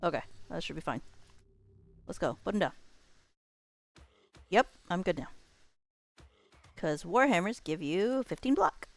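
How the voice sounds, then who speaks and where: A woman's voice: lowish voice, sing-song, a little slow, gravelly, long pauses. A woman doing casual live commentary as she plays a game, thinking aloud and talking to herself and to the game. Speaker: game streamer.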